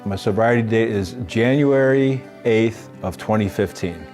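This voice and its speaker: A man in his fifties with a fairly low voice. He speaks plainly and reflectively about his past, and soft guitar plays in the background. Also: measured pace. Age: 50s. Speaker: person in recovery. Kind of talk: personal testimony interview.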